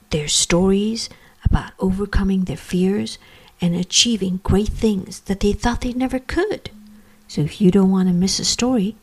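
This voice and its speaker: A woman hosting a podcast interview, earnest and warm in tone, with many fillers and restarts as she asks questions. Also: medium pitch, poor audio. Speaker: podcast host.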